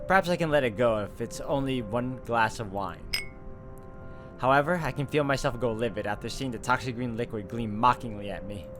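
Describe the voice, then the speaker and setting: A man hosting a gameplay video, reading visual novel narration aloud as an expressive storyteller and adding asides. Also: mid-range voice, fairly quick. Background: music.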